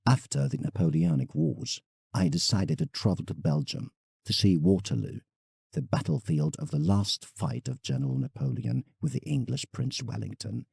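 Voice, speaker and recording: A man's voice: lowish voice, gravelly, a little mellow, breathy edge, no room sound, studio quality, heavily acted. A man playing a proud, reflective English landscape painter in an audio drama, telling his travels formally as a letter to a friend.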